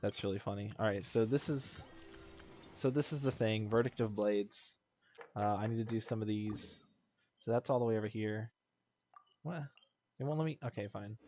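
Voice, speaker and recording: A man's voice: mid-range voice, a little mellow. A man chatting casually as he streams a video game, rambling in a slangy, jokey way. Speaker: live streamer.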